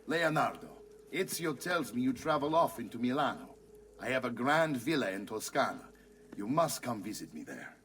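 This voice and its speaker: Man acting expressive nobleman mentor. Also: medium pitch, normal speed, recorded from a distance, slight background noise, natural voice. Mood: gracious. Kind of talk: video game cutscene acting.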